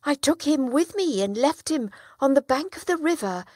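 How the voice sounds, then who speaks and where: A woman's voice: high-pitched, expressive, moderate pace, a little mellow, no echo, studio quality, voice pushed up. Woman voicing a shrewd village trader man. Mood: insistent. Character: clever male village trader, calm and shrewd.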